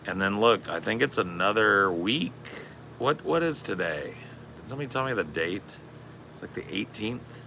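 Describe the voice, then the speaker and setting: Gravelly, strained deep-voiced man. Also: lively intonation, moderate pace, sharp tone, a little hiss or hum. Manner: rambling, swears a lot. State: strained, raspy voice. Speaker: streamer. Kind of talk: casual chat.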